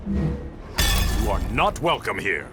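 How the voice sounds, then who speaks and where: Proud ocean king for a fighting game: commanding, formal, animated pitch, over loud music. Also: slow pace. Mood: commanding, threatening. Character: proud, stern warrior king of an undersea kingdom. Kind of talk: video game voice acting.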